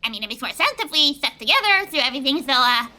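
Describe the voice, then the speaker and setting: A woman's voice: high voice, very animated, very fast, background noise. A woman playing an anxious, clingy young female monster trainer who stammers and hesitates. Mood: nervous, flustered.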